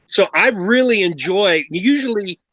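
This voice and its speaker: A man's voice: high-pitched, animated, unhurried, pushed and bright, no room sound. A man hosting a casual review chat on a video call, enthusiastic, drawing out his phrases. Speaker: show host, reviewer.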